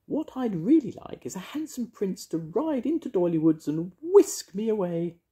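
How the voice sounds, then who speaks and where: A man's voice: high voice, big pitch swings, normal speed, warm tone, voice pushed up. A man voicing a dainty, wistful female fairy in a storybook, with trilling exclamations.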